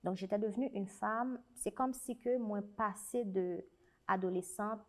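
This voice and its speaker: A woman's voice: mid-range voice, a little slow. A young adult woman with a Haitian French accent, a guest speaking earnestly and reflectively in a conversational interview. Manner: earnest, reflective, with frequent restarts. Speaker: guest, writer and special educator.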